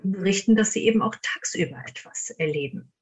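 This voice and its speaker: A woman's voice: medium pitch, lively intonation, a little fast. A woman answering interview questions informally as a paranormal investigator. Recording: dull, muffled band-limited sound.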